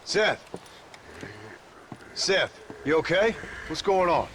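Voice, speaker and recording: An actor playing a gruff, weary, blunt gunslinger with an American Western accent in a video game. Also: male voice, high-pitched, expressive, slow, very noisy. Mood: alarmed, exasperated. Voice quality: gravelly. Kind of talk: video game cutscene acting.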